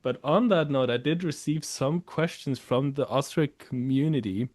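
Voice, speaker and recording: A man from Iceland, hosting a casual interview as relaxed conversation. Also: mid-range voice, normal speed, a crowd in the background. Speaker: podcast host.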